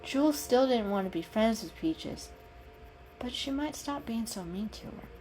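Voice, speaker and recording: A woman's voice: on the high side, expressive, a little slow, dark tone, poor audio. A woman giving a dramatic reading of an animal story as its narrator. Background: background music.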